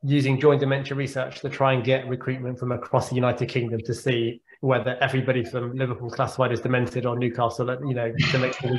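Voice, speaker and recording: A man's voice: mid-range voice, flat delivery, fast talker, few pauses, a little hiss or hum. A man in his forties, a neurologist, answering audience questions on a video call, thoughtful and often repeating words as he thinks. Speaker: guest speaker, neurologist. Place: home room on a video call. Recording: echoey video-call audio.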